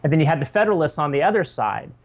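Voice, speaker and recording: A man's voice: fairly high pitch, very animated, racing. Easygoing man explaining on a phone call. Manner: casual and explanatory, adds tag questions. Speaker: podcast co-host. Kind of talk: casual podcast chat.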